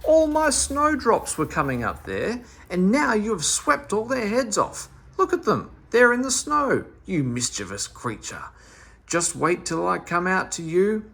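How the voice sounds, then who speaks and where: A man voicing an angry, sharp-tongued old woman who scolds shrilly. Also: high voice, very animated, a little slow, gravelly, breathy edge, pitched above the performer's own voice, exaggerated voice. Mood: furious scolding.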